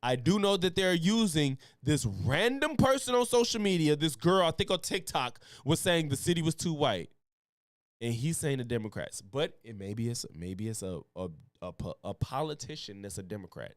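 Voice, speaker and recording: A man's voice: a little high, animated, moderate pace, no room sound, clean studio sound. A man doing live reaction commentary in a heated, profane, slangy manner. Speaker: political commentary streamer.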